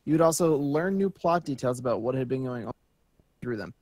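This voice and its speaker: A man's voice: medium pitch, lively intonation, fairly quick, darkish tone, no echo. A man co-hosting a podcast, reading news aloud and chatting in an informal, joking way. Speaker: podcast co-host.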